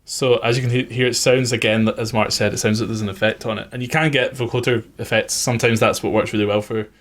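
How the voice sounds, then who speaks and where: A man's voice: medium pitch, fairly even intonation, fast talker. A man working as a music producer, giving an informal, conversational tutorial on his production methods.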